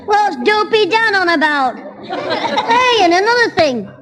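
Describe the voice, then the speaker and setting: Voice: extremely high pitch, sing-song, unhurried, a crowd in the background, exaggerated voice. An actor playing a cheeky, mischievous Northern English schoolboy who wisecracks and complains in an old radio sitcom. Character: cheeky mischievous schoolboy son, quick with insults. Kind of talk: 1960s radio sitcom acting before an audience. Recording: old radio recording, noisy, audience hall.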